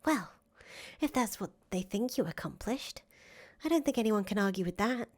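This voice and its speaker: A woman's voice: mid-range voice, animated, normal speed, a little mellow, lightly acted. A woman voicing a patient, loyal young woman in a visual novel, reassuring and casually sweary. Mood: tender, proud. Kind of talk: voiced reading of visual novel dialogue. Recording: music playing under the voice.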